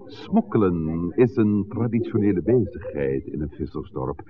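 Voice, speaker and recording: A man playing a suave, scheming village pastor in a 1960s radio drama, speaking in formal, measured, courteous sentences. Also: low voice, expressive, crowd noise. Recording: muffled, noisy old radio recording.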